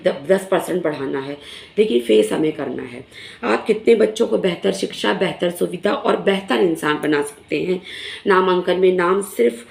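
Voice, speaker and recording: Hoarse middle-aged teacher lady, lowish gravelly voice, lots of little pauses, echoey room on a phone. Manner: earnest, frequent filler pauses. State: hoarse, recovering sore throat. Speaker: primary school teacher. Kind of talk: casual explanatory talk to fellow teachers. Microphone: phone camera in selfie mode.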